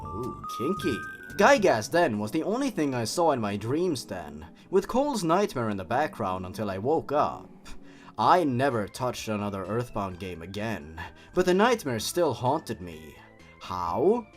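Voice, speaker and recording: A young man presents scripted commentary as a show host. His mid-pitched voice leaps up and down dramatically, with sardonic, exaggerated emphasis. Music plays softly behind him. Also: very animated, unhurried. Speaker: host of a horror story review channel. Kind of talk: scripted narration and review commentary. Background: background music.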